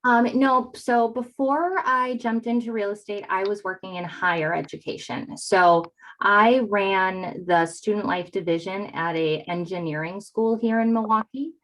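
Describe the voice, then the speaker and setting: A woman's voice: mid-range voice, fairly even intonation, a little slow, a bit bright, poor audio. A woman with a Yooper northern Wisconsin accent giving conversational answers as an interview guest on a video call. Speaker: interview guest, real estate agent.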